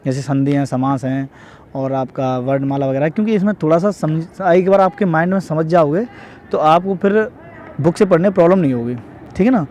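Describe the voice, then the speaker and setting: A man's voice: a little high, steady tone, brisk, darkish tone, a little hiss or hum. A young man from northern India giving casual exam advice to viewers, explaining repetitively and often checking that they follow. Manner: repetitive, explanatory, often adds tag questions seeking agreement. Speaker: exam preparation advice vlogger.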